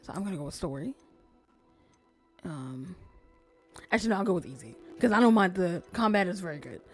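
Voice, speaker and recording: A woman streamer chatting casually while she plays, soft-spoken and sometimes nearly whispering. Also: fairly low pitch, lively intonation, fairly quick, soft background music. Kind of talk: casual gameplay commentary. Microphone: desk streaming mic.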